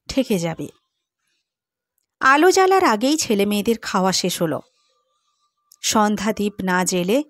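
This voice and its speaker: A woman narrating a classic story in a measured way, softening for the eerie passages. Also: medium pitch, no room sound, studio quality. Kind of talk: scripted literary narration.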